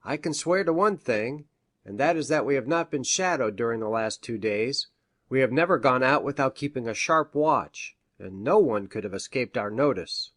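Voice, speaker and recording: A man's voice: mid-range voice, lively intonation, moderate pace, no room sound, clean studio sound, lightly acted. A man playing a learned country doctor in a storybook, with a formal, pedantic manner. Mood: earnest.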